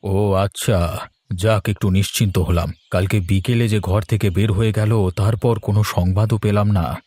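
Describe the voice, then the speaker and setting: A man playing a tender, remorseful middle-aged village father in a cartoon folk tale, speaking gently and hesitantly. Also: on the low side, fairly even intonation, moderate pace, dry recording, lightly acted. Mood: regretful.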